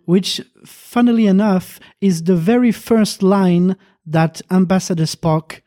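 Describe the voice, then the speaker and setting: Hesitant host with a mellow voice. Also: medium pitch, fairly even intonation, a little slow. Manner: hesitant, stumbling with fillers. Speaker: podcast host. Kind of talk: casual chat.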